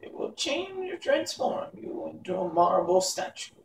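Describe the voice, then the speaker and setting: Picture a cruel old witch woman who hisses her threats in a shrill, breathy whisper, grim and cold, as a man reading a storybook puts her on. She sounds far away in an echoey room with faint hiss behind her. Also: very animated, a little slow, full character voice. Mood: grim menace. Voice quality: high breathy whispery cackle.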